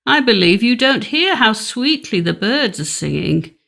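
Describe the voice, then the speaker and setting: A woman's voice: fairly high pitch, very animated, unhurried, gravelly, fairly bright tone, exaggerated voice. A woman voicing a sly, hungry male wolf in a storybook, coaxing and cunning.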